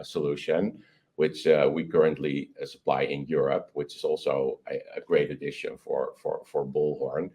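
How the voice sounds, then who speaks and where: Low-voiced guy answering interview questions, keen, kinda stop-start delivery. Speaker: company chief executive being interviewed.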